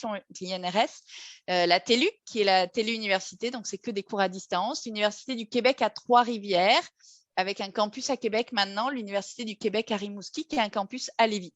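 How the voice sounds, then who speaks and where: A woman's voice: mid-range voice, steady tone, fairly quick, dry recording. Woman, French accent, host, friendly explanations, hesitant fillers, dry sound. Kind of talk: informational talk with a guest.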